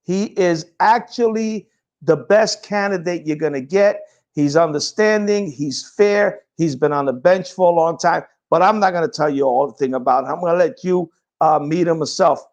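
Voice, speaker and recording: A man's voice: on the high side, normal speed, darkish tone. A man hosting a talk show, introducing his guest in a brash, informal way. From Puerto Rican heritage. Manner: brash, informal, hesitant over names.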